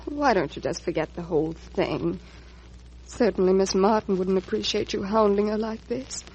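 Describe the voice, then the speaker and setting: An American actress playing an anxious, persuasive wife in a 1940s radio drama, on an old recording. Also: female voice, mid-range voice, normal speed, a little mellow, dull audio, a little hiss or hum, lightly acted. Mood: pleading, impatient. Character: anxious, persuasive wife urging her husband to rest.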